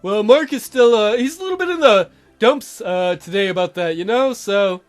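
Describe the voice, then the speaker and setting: A man voicing an awkward teenage boy in a dating sim, halting and offhand, gently reproachful toward a friend. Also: sits high, lively intonation, faint music behind, voice pushed down. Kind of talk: dating sim dialogue read aloud.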